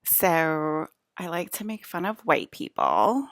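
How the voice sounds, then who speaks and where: A woman's voice: deep voice, wildly swooping pitch, slow, fairly bright tone, dry recording, studio quality. A woman in her forties from New Jersey, rambling through a casual, chatty monologue that keeps breaking off and slipping into whispered asides. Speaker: vlogger.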